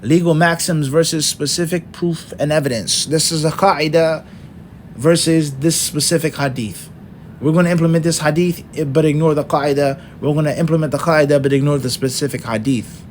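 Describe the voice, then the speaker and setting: A man's voice: fairly high pitch, steady tone, moderate pace, slight background noise. A middle-aged man teaching an Islamic lesson, working through points one by one and asking rhetorical questions. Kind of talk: lecture.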